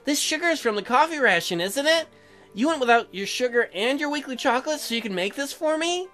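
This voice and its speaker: A man's voice: very high-pitched, animated, fairly quick, sharp tone, pitched above the performer's own voice, exaggerated voice. A man voicing a blunt young newlywed woman colonist in a visual novel, questioning and easily put out, hamming it up. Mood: curious, softened. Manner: indignant, questioning. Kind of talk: hammy visual novel character read-out. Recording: music behind the voice.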